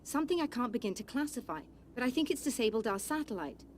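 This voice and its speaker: A high, very lively actress's portrayal of a female scientist in a thriller game. She explains things earnestly and articulately, her pitch moving a lot, over faint background ambience. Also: fast talker, a little hiss or hum, close to an everyday voice. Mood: concerned, focused. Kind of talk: dramatic game acting.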